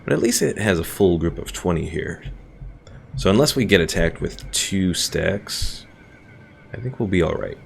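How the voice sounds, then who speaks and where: A man's voice: deep voice, expressive, moderate pace, gravelly, a little hiss or hum. A man streaming a strategy game, chatting casually and self-deprecatingly about his battles and answering his chat. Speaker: gaming streamer. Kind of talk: casual gameplay commentary.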